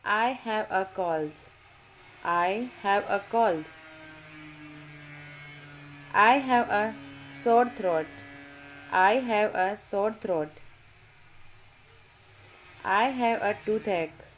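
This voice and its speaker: Female English tutor, unhurried, clear sentence drills for beginners. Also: mid-range voice, slight background noise. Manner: reads each sentence twice, measured and deliberate. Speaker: English teacher. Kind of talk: slow repeat-after-me vocabulary drill.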